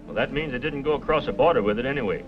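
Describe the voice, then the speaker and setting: A man's voice: mid-range voice, rapid-fire, natural voice. Guy playing dutiful country sheriff, plain talker, old radio western, muffled w music behind. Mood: businesslike. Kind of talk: old-time western radio drama acting. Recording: muffled vintage radio recording, loud music.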